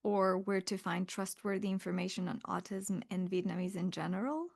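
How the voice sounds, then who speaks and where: A woman's voice: mid-range voice, moderate pace, vocal fry, darkish tone. Woman moderator reading out questions. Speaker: webinar moderator.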